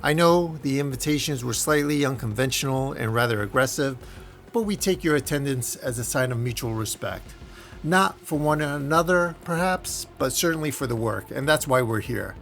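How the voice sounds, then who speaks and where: A man voicing a courteous older spokesman for mercenaries in a comic story, with measured, formal oratory. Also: mid-range voice, expressive, creaky, fairly bright tone, soft background music. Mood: diplomatic.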